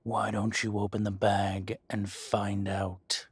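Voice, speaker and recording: A man plays a male dragon shifter who runs the mafia, in an audio roleplay. His voice is close and dry with a husky rasp, and he talks slowly. He is mock-polite and possessive, taunting the listener with quiet threat. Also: lowish voice, completely flat delivery, no echo, full character voice. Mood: taunting menace. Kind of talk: scripted roleplay audio drama, addressing the listener.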